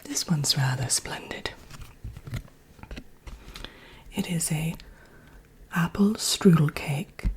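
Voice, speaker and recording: Woman as a slow, whispering wicked queen. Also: sits low. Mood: genteel, instructive. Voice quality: soft, breathy whisper. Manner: genteel, unhurried, drily wicked. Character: haughty, wicked fairy-tale Evil Queen teaching recipes. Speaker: workshop presenter. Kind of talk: whispered ASMR roleplay.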